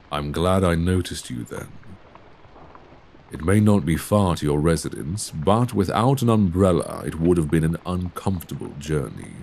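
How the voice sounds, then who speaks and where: Deep, creaky Victorian gentleman vampire, acted by a man. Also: slight background noise, heavily acted. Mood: tender concern. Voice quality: low, creaky voice. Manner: genteel, formal, solicitous phrasing with endearments. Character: suave, courteous Victorian gentleman vampire, tenderly charming. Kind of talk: ASMR roleplay, scripted intimate monologue. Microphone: close binaural microphone.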